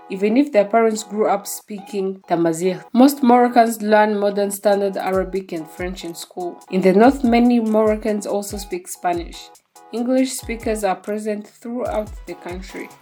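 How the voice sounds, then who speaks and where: A woman reads a scripted documentary narration in a mellow, darker-toned voice. Her delivery is even and measured. Soft music plays faintly underneath. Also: medium pitch.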